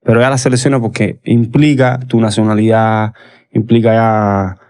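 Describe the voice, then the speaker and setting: A man with a Cuban accent speaking as a football coach and analyst in a reflective podcast conversation, measured and often pausing to rephrase. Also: lowish voice, flat delivery, a little mellow, clean tone. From Cuba.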